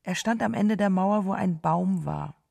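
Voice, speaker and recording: An actress playing a gentle, grieving wife who remembers a lost love in a halting, subdued way in a radio drama. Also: female voice, mid-range voice, vocal fry. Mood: pained remembering. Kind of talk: literary radio drama monologue.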